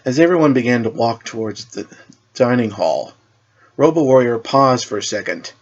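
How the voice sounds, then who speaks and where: A man's voice: medium pitch, animated, moderate pace, highs cut off. A man reading scripted narration as the storyteller of a superhero tale.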